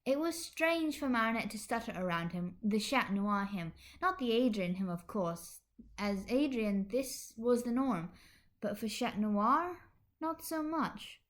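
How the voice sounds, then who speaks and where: A woman's voice: on the high side, expressive, a little slow. A woman narrating fan fiction expressively as a podfic reader.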